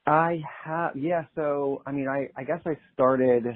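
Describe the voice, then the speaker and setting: Young male singer-songwriter guest, relaxed and chatty, laughs easily; narrow phone-like sound. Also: a little high, measured pace. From Pennsylvania. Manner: easygoing, chatty, laughs often. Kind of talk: casual interview chat. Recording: tinny phone-like line.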